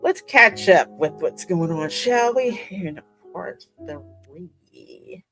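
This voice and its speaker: Lower-voiced woman hosting a game playthrough. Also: lively intonation, very slow, a bit bright, soft background music. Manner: conversational, reacting and musing aloud. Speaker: gaming channel host. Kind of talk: casual game playthrough commentary with read-aloud narration.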